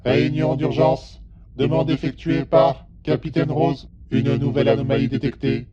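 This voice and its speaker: Un homme qui joue l'ordinateur impassible d'une station spatiale dans une fiction audio, avec des annonces neutres et hachées. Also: male voice, mid-range voice, moderate pace, over a noisy crowd, natural voice. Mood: impassive. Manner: flat, clipped, impersonal announcements. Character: impassive male space-station computer announcer. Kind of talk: audio drama station announcement. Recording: muffled station loudspeaker sound.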